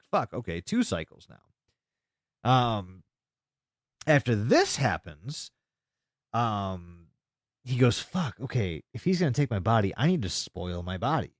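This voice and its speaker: Animated, sweary man recapping a story. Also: medium pitch, dry recording, studio quality. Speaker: podcast co-host. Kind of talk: casual podcast recap, retelling a video's story.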